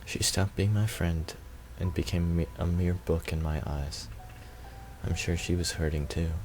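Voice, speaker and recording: A man's voice: sits low, steady tone, measured pace, darkish tone, faint music behind, poor audio. A man reading first-person visual novel narration aloud.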